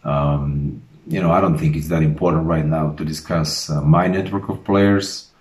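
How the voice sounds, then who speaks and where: Man, late 30s, low voice, formal head coach addressing fans in a roomy, echoing space. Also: steady tone, normal speed, a little mellow. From Croatia. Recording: roomy echo.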